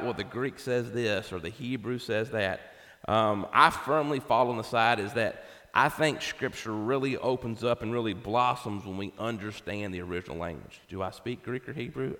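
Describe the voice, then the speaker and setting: A man's voice: mid-range voice, a bit bright. A man preaching a conversational sermon in an emphatic, informal way, often checking in with his listeners. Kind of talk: conversational sermon with Bible exposition. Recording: echoey hall with long reverb.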